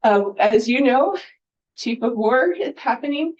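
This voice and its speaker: A woman's voice: on the high side, animated, a little slow, a little mellow. Woman, from Hawaii, event presenter, informal public address, muffled lo-fi hall recording. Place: indoor event hall, speaking at a podium.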